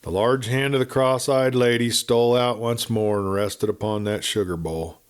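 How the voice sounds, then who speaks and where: A man reading a western novel aloud as scripted narration, in an expressive, dramatic storytelling manner. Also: medium pitch, moderate pace, smooth. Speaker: audiobook reader.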